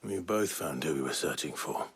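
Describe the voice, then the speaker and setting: An actor playing a brooding, courteous young warrior in a dramatic video game cutscene, restrained and grave, with a gravelly low voice. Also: male voice, lively intonation, moderate pace, full character voice. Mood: wary.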